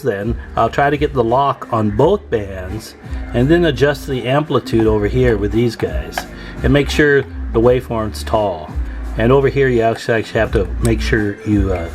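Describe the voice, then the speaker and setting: A man's voice: medium pitch, darkish tone. A man giving an informal repair walkthrough as a technician, thinking aloud while he works. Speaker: radio repair technician, video host. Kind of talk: casual hands-on repair walkthrough.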